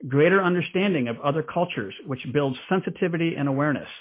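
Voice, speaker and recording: A man hosting and narrating a scripted talk in a measured, explanatory way. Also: fairly high pitch, animated. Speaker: host. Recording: tinny phone-like sound.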